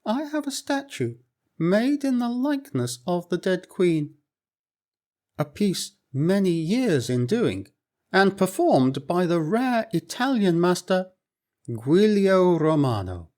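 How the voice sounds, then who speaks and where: A man voicing a proud, loyal court lady in a storybook reading, speaking in a stately, measured way. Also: high-pitched, big pitch swings, a little mellow, pitched above the performer's own voice, heavily acted. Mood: solemn.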